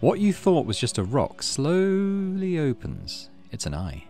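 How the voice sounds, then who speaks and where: A man's voice: a little high, wildly swooping pitch, unhurried, mellow. A man giving casual let's play commentary, thinking aloud and reading game text as he goes. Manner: thinks aloud, muttering through choices. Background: game music.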